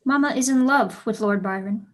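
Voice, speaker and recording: Casting: woman to play headstrong teenage girl pupil in period drama, highish voice, eloquent, eager, loves an argument. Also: moderate pace, lightly acted. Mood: eager, gossipy. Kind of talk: staged reading of a period play.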